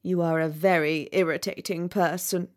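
A woman's voice: on the low side, steady tone, moderate pace, lightly acted. A woman voicing a vain, pompous male rocket in a storybook, haughty and easily offended. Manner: pompous, haughty, self-important. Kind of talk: storybook character voice.

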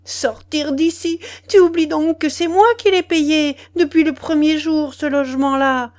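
A woman's voice: a little high, normal speed, a little mellow, lightly acted. A woman reading an audiobook plays a betrayed mistress, furious, scornful and vehemently accusing. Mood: furious outburst. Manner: vehement, indignant, exclamatory. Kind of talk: dramatised audiobook dialogue.

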